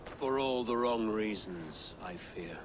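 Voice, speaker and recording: An actor playing an earnest Saxon nobleman in a game cutscene, speaking in a measured, formal, worried manner. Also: on the low side, expressive, background noise. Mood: uneasy. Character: anxious, earnest Saxon nobleman and claimant king. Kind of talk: video game cutscene acting.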